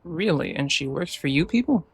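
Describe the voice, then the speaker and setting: A woman's voice: sits low, expressive, moderate pace, creaky, slightly rough, dry recording. A woman giving casual gaming commentary, musing aloud in short reactions as she plays. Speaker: gaming streamer. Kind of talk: casual game playthrough commentary. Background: game music.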